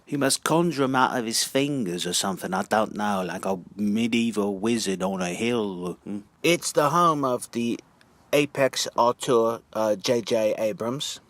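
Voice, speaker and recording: A man giving a casual, halting monologue as a video narrator, drawling words for sardonic emphasis. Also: on the low side, fairly bright tone, no echo. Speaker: video narrator and amateur reader.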